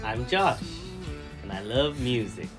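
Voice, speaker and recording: A man answers interview questions in a relaxed, casual way, listing things with many "likes". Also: mid-range voice, slow, loud music behind the voice.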